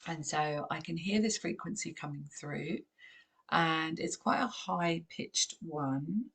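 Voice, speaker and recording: A woman with a croaky voice, gently guiding a channeled meditation in a calm, unhurried way. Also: sits low. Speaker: spiritual channeler and host.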